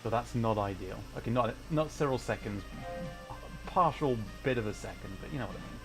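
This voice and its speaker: Male game streamer, mid-pitched, chatty and excitable, casual live commentary, clear game music behind. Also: fairly quick. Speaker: video game livestreamer. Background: video game music.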